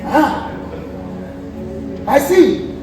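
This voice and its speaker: A man's voice: extremely high pitch, animated, very slow, music in the background, exaggerated voice. A young man with a Nigerian accent acting a proud, defiant defender of tradition on stage. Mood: bitter, scornful. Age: young adult. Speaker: student stage actor. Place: theatre stage, recorded from afar. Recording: distant, echoey theatre with noise.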